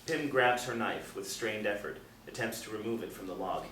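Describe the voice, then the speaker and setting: Lowish-voiced man narrating in an echoey room. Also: moderate pace. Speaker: narrator reading stage directions. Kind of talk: scripted narration at a table reading. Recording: roomy, distant mic, faint noise.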